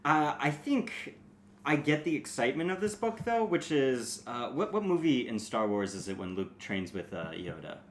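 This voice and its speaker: A man chatting casually and jokingly as a co-host, excitable and peppering his talk with "like". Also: mid-range voice, moderate pace, slight background noise. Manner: excitable, filler-heavy with frequent "like". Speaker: co-host and reader. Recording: roomy echo, people behind.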